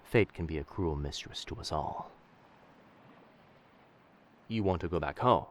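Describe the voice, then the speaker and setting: A man's voice: very deep voice, measured pace, slight background noise. A man playing a roguish, commanding pirate captain in a roleplay audio drama, speaking in old seafaring diction. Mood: intrigued, gentle. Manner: old seafaring diction, addresses others as lass and sir.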